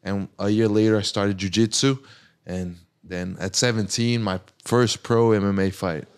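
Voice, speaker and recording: A man's voice: lowish voice, steady tone, moderate pace, smooth. A man, a fighter chatting loosely as a podcast guest and filling his talk with "like". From Brazil. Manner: loose and rambling, heavy use of "like". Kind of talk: casual podcast chat.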